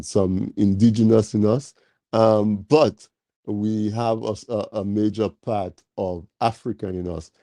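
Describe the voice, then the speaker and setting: A man's voice: lowish voice, creaky, dry recording. Nasal man hosting a live talk show. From Caribbean. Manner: slow, warm welcoming address with many fillers. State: slightly nasal from a cold.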